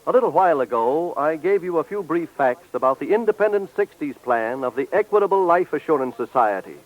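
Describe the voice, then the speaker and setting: A man's voice: fairly high pitch, animated, brisk. A man announcing in a formal, polished, scripted 1940s radio style as a sponsor's spokesman. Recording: dull old radio recording.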